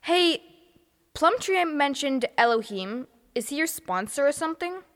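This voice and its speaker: A woman's voice: high-pitched, expressive, a little slow, a bit bright, big-room sound. A woman voicing a bold, confident young girl with an upbeat, bossy way of talking.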